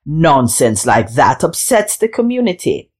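A woman's voice: fairly low pitch, lively intonation, measured pace. Woman voicing a stern, grumbling village chief. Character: scowling village chief, stern, dismissive man.

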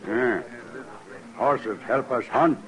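A man's voice: medium pitch, extremely slow, fairly bright tone. A man in a 1950s radio western playing a proud, stoic old Kiowa chief who speaks in terse broken English. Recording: noisy vintage radio drama recording.

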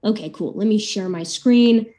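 A woman's voice: medium pitch, normal speed, mellow. A young woman with a cold, a PhD student opening her debate presentation over a video call, casual and hesitant. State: has a cold.

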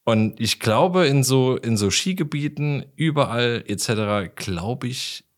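A man's voice: mid-range voice, expressive, measured pace. A man chatting casually as a podcast co-host, with wry, joking remarks. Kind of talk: casual chat.

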